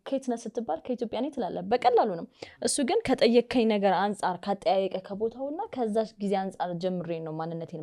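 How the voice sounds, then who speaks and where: This is an Ethiopian-accented woman hosting a casual talk. She speaks extremely quickly. Her tone is mellow and relaxed, with little edge to it. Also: mid-range voice. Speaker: podcast host. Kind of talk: casual chat, summing up and translating.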